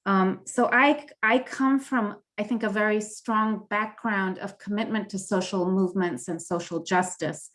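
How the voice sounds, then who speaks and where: Woman, middle-aged, mid-pitched voice, earnest and animated, panelist on a video call. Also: a little slow, hardly any pauses. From Kenya and Tanzania. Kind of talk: panel discussion.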